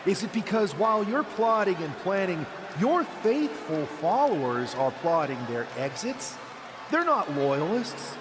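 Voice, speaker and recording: A man's voice: sits high, sing-song, measured pace. An actor playing a cocky male wrestler in a video game, goading rivals with a punchy promo. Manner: punchy, provoking. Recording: noisy, long-echoing arena sound.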